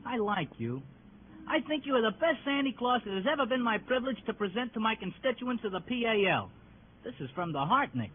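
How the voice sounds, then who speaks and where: A man's voice: very high voice, very animated, brisk, a little hiss or hum. An actor playing a cocky young man who runs a youth club party in an old radio drama, brash and wisecracking. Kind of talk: 1940s radio acting. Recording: tinny old radio broadcast.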